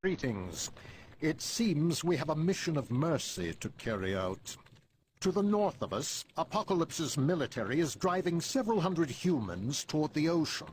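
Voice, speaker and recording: An actor playing an imperious older mutant leader in a game cutscene, formal and dryly sarcastic. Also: male voice, fairly high pitch, very animated, moderate pace, over music. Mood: commanding. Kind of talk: dramatic video game cutscene acting.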